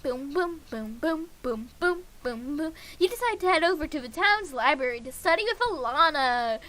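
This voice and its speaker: A woman livestreaming a game in a casual, excitable way, reading the text aloud and reacting with giggly gushing. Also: sits high, moderate pace.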